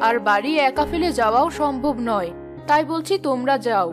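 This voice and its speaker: A woman playing a kindly older mother-in-law who gently chides and advises in a Bengali cartoon. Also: high voice, lively intonation, brisk, over music. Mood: gently advising.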